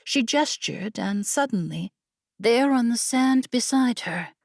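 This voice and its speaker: A woman narrating a scripted fantasy story as an audiobook reader, measured and deliberate. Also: a little high, animated, vocal fry, no echo, studio quality.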